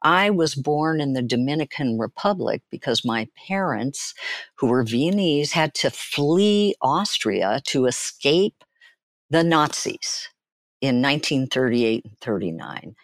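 An older woman shares personal stories as a guest in an interview. Her pace is slow and deliberate, with emphatic pauses and a highly animated rise and fall in pitch. The recording is close and dry. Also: no room sound. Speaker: guest, therapist and author.

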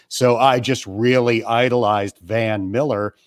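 A man from western New York who is a radio play-by-play broadcaster, answering interview questions in a measured, self-deprecating way from his family room. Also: medium pitch, fairly even intonation, dry recording, studio quality. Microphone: remote call from home.

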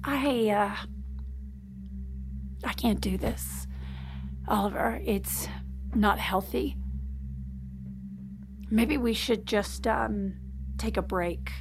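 A woman's voice: mid-range voice, extremely slow, long pauses, background noise. An actress playing a hurt, guarded wife and mother in a naturalistic audio drama. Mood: wounded, bitter.

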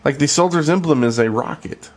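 A man's voice: a little high, fairly quick. A man co-hosting a gaming podcast, talking casually. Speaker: podcast co-host.

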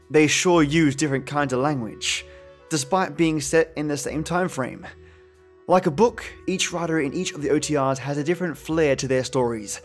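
A man hosting a podcast, speaking to his listeners in a chummy, affectionate way. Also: fairly high pitch. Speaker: podcast host. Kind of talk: podcast outro, addressed to listeners.

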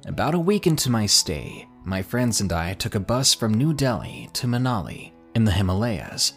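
A man with a low voice reads scripted ghost stories for a podcast. His delivery is measured and suspenseful, building tension line by line. Soft music plays faintly behind him. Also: very animated. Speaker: podcast narrator. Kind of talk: scripted horror story narration.

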